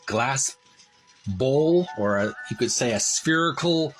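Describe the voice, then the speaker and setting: A man hosting a retro gaming channel, casually narrating a show-and-tell and often pausing mid-phrase. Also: mid-range voice, lively intonation, fairly bright tone, faint music behind, rough recording.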